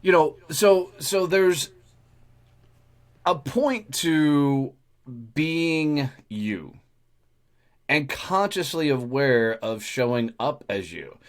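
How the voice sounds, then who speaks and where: A man's voice: fairly high pitch, animated, extremely slow, long pauses. A middle-aged man hosting a podcast, talking bluntly and swearing freely in casual conversation. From Texas, USA. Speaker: podcast host.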